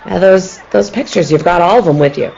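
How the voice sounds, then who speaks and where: A woman playing a scheming, nervous, murderous circus tiger tamer in a radio play, with a halting way of talking. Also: on the low side, gravelly, dark tone, a faint crowd. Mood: nervous, evasive. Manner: halting, stammering, repeating words. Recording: muffled, lo-fi audio with noise.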